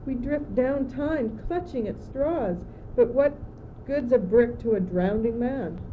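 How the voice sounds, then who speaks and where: Casting: teenage girl as confused, gloomy young courtier in school radio play, highish female voice, stagey plaintive delivery, lo-fi sound. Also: animated, slow pace. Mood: despairing. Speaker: student actor. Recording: muffled old amateur recording, noisy.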